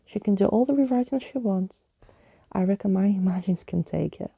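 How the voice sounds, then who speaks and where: A woman's voice: mid-range voice, fairly quick, vocal fry, warm tone, breathy edge, dry recording. A woman voicing a kind, plucky young girl with an earnest, reassuring manner in a storybook.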